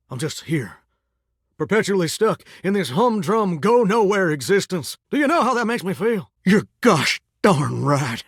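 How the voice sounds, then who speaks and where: A man's voice: sits high, no echo, clean studio sound. A man playing a folksy, flirtatious talking shower in a video game, with a theatrical Southern US drawl and a wistful streak. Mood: aggrieved, dramatic. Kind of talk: video game character acting.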